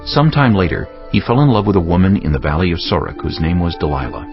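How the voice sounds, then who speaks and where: Deep, gravelly male scripture narrator. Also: big pitch swings, fast talker. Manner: solemn, dramatic storytelling. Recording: dull band-limited audio over music.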